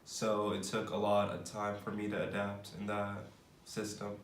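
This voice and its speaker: Young man from San Francisco, monotone interviewee, distant roomy recording. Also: on the low side, normal speed. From San Francisco, United States. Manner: matter-of-fact, plainly stated answers. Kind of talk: casual interview answers. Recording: roomy, distant mic with faint noise.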